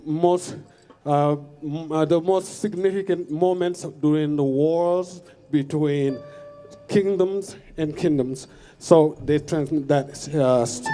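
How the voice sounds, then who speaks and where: A man from southern Senegal with a Senegalese accent, introducing songs from a concert stage and explaining as a storyteller, sometimes pausing to find words. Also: on the high side, dark tone. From Casamance, southern Senegal. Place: live lakefront concert stage. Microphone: stage microphone.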